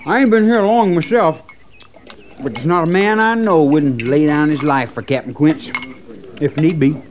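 A man's voice: on the high side, moderate pace, lo-fi sound, slight background noise, natural voice. An American man acting an earnest, loyal, plain-spoken frontier soldier in a 1950s radio western. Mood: earnest, admiring.

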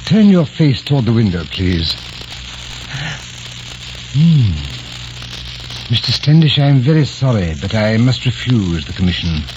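Courtly 18th-century painter, formal and hesitant, dull noisy old radio sound. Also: male voice, medium pitch, sing-song, unhurried, pauses often. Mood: polite.

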